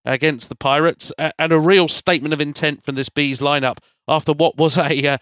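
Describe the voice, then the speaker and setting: A man's voice: fairly high pitch, fairly even intonation, fairly quick, a bit bright, clear, resonant tone, no echo, studio quality. A man with a congested, cold-affected voice hosts a sports podcast, recapping matches in a rambling, enthusiastic, conversational way.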